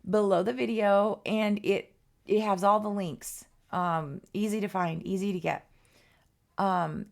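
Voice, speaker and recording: A woman giving a casual art tutorial, chatty and rambling with many ums and restarts, her voice hoarse and worn. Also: medium pitch. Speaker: art teacher.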